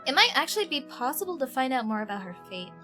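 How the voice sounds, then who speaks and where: A woman livestreamer chatting casually and slangily as she plays a game, rambling along in a giggly, animated way. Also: high-pitched, fast talker. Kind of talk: casual livestream chat while playing a game. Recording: game music behind the voice. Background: video game music.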